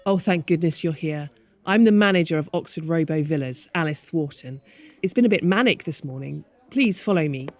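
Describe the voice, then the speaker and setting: A woman's voice: sits low, animated, normal speed, soft background music. A woman playing a harried but polite manager of a retirement home in a radio play.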